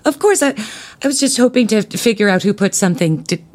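A woman's voice: medium pitch, expressive, fast talker, a little mellow, close to an everyday voice. A woman playing a chirpy, nosy church youth helper in an audio drama comedy, flustered and stumbling over her words. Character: bubbly, nosy female church youth helper named Clara.

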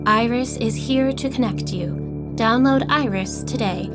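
A woman's voice: a little high, unhurried, loud music behind the voice, natural voice. A woman playing a polite, relentlessly upbeat AI voice assistant with a scripted, salesy courtesy, in an audio drama. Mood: smooth, cheerful politeness.

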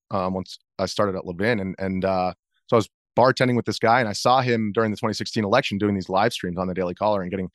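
A man in his thirties with a fairly low voice talks as a guest in a casual interview. He feels his way through answers in loose, wandering sentences, recorded close and dry. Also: dry recording. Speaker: interview guest, video journalist.

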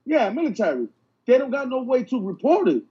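A man's voice: extremely high pitch, very animated, brisk, dark tone, breathy edge, lo-fi sound. A man from Puerto Rico chatting on a podcast, earnest and insistent, often repeating his phrases. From Puerto Rico, raised in the mainland United States. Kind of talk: casual podcast chat.

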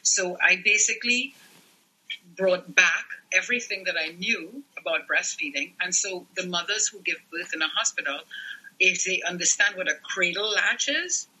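A middle-aged man with a high, husky voice. His tone is very bright. He explains things earnestly as a specialist guest. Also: moderate pace, poor audio. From Trinidad and Tobago. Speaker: newborn care and lactation specialist. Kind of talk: podcast interview guest.

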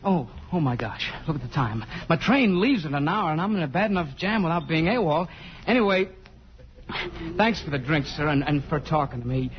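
An actor playing a lonesome, tipsy young American army corporal in a 1950s radio drama, hesitant and rambling. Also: male voice, a little high, expressive, normal speed, slight background noise, natural voice. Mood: flustered, grateful. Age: young adult. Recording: muffled vintage radio broadcast with music.

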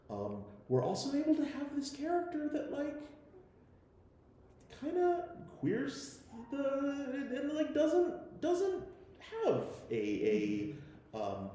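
An American man from the Midwest speaking on a panel, haltingly, repeating words as he thinks aloud. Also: mid-range voice, dark tone. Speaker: panelist, member of an audio drama team. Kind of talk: live panel discussion. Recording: very echoey room, faint background noise.

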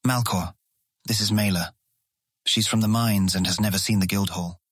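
A man's voice: on the low side, fairly even intonation, moderate pace, fairly bright tone, husky, dry recording, clean studio sound. An actor playing an eager, proud young man showing a guest around in a fantasy audiobook. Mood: proud, friendly. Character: eager, proud young male guild guide. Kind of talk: full-cast audiobook acting.

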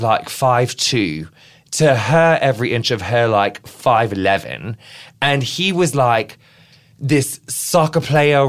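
An American man hosting a podcast, chatting in a bubbly, rambling way with lots of fillers and restarts. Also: a bit bright. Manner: bubbly, rambling, swings high in pitch. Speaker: podcast host. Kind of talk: casual podcast chat.

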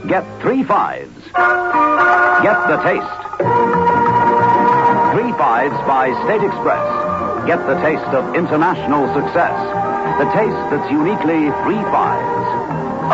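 A man's voice: fairly high pitch, big pitch swings, a little slow, long pauses. A man announcing a radio commercial in 1960s style, with polished, emphatic slogan delivery. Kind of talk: 1960s radio advertisement read. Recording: muffled old 1960s radio recording. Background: loud music.

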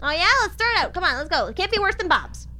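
A woman's voice: very high voice, sing-song, fast talker, bright, forceful tone, a little hiss or hum, exaggerated voice. A woman playing a domineering, impatient agency boss in a comedy audio drama, barking orders and stumbling for words. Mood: brisk, bossy.

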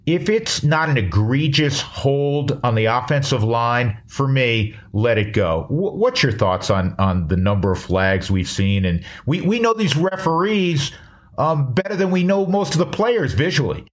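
A man's voice: medium pitch, very animated, measured pace. A man hosting a casual interview, blunt and opinionated, with some mild swearing. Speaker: podcast host.

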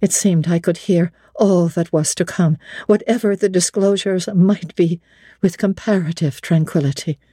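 A woman giving a measured, theatrical dramatic reading of a classic novel in a studio. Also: fairly low pitch, dark tone, husky, no echo.

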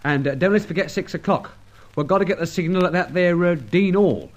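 An actor playing a loyal, easygoing working-class sidekick in an old radio drama, talking in a colloquial, matey way. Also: male voice, sits high, a little fast, natural voice. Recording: dull old radio transcription recording.

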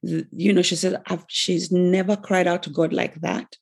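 A woman from Uganda telling her personal story as a podcast guest, measured and with fillers. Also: lowish voice, steady tone, creaky, slightly rough. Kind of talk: personal testimony.

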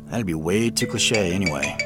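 Audio drama role, acted by a man: casual, wary everyman sleuth, naturalistic delivery, somewhat low pitch. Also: expressive, moderate pace, over loud music. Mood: musing, wary. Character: wry, wary man puzzling out a mystery. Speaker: lead actor.

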